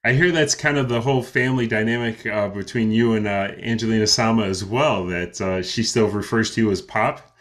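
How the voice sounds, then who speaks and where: A man's voice: on the low side, fairly quick. A man hosting an interview, asking questions casually with stammers and restarts. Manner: stammering, laughs easily. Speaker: interview host.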